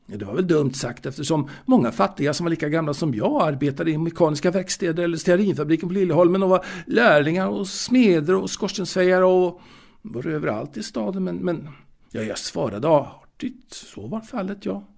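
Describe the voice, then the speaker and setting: Man acting a hesitant young sweet-seller, gravelly and husky. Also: on the high side, animated, a little mellow. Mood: earnest. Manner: hesitant, repeating words. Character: proud, naive young male confectionery seller reminiscing. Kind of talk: intimate audio drama monologue.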